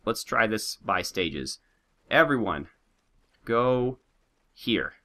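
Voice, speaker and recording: A man narrating his game in a lively, joking way, his pitch swinging widely as he urges on his men and teases his enemies. He sounds slightly sick, a touch nasal with a sore throat, on a lowish voice with a bright, forceful edge. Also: drawn-out words. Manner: animated, joking, talks to his troops and viewers. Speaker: let's play host. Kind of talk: gameplay commentary.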